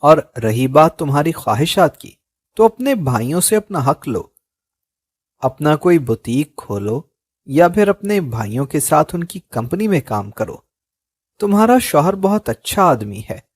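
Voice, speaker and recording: A man reading the lines of a middle-aged aunt who gives earnest, calm advice in a slow, dry-sounding recording. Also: on the high side, husky, dry recording, heavily acted. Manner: earnest, pleading, advising.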